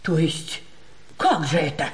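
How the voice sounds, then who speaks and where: Slow, swooping old gentlewoman. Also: low-pitched, sing-song, heavily acted. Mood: wounded, indignant. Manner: sighing, repeats and echoes words, grumbling. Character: imperious old gentlewoman, plaintive, folksy benefactress. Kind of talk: theatrical radio play of a classic short story.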